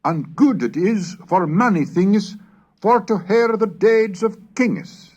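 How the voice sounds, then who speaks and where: A man reading scripted lectures and old verse in a measured, scholarly manner, as a lecturer with a husky voice. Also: high voice, expressive, a little mellow, muffled recording. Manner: measured, scholarly, declamatory when reciting. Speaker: lecturer and reader. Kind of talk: scripted narration on English language history, with Middle English recitations.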